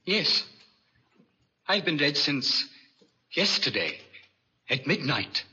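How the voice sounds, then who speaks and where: An actor playing an earnest, haunted walking dead man in a 1940s radio drama, grave and halting. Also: on the high side, sing-song, pushed and bright, breathy edge, exaggerated voice. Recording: muffled, noisy 1940s radio recording.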